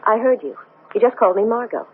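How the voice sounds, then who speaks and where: A thin, phone-like radio drama recording with some hiss carries an actress as a woman astronaut. She is anxious and strong-willed, her halting speech swinging widely in pitch. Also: animated, close to an everyday voice. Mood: anxious, confused. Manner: agitated, halting, stammering speech. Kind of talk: 1970s radio drama acting. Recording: noisy, thin, phone-like old radio broadcast.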